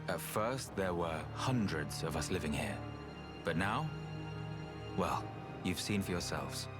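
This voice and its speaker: Weary, reasonable male researcher for a game cutscene: low, plain-spoken, grave, music underneath. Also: expressive. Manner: unhurried, thoughtful, plain-spoken. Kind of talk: video game cutscene acting.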